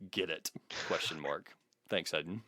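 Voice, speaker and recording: A man's voice: deep voice, measured pace, pushed and bright, no echo, studio quality. A man hosting a podcast, mixing jokey mock-formal reading with casual, wry, self-deprecating banter. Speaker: podcast host.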